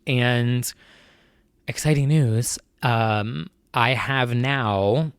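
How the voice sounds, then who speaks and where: A man's voice: mid-range voice, extremely slow, clear, resonant tone, no echo. A young man hosting his own podcast, talking in a casual, anxious, rambling way full of fillers and restarts. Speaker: podcast host. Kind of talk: casual solo chat.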